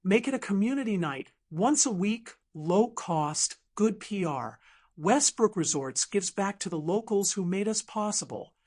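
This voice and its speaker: A woman's voice: mid-range voice, expressive, measured pace, darkish tone. Woman acting an earnest thirties female event planner. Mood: persuasive. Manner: earnest, persuasive.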